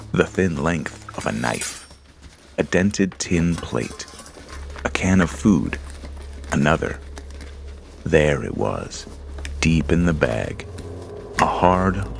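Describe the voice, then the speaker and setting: A man narrating a horror story in a slow, measured, hushed storytelling manner. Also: very deep voice, vocal fry, slight background noise. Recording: music bed under voice.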